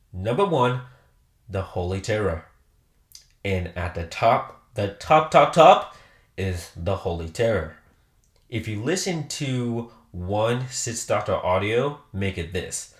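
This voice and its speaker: A man with a lowish voice narrates a scripted ranking review. His pitch moves in lively arcs, and he sets off short phrases with dramatic pauses for emphasis. The room adds a noticeable echo around his words. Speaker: video host ranking audio dramas.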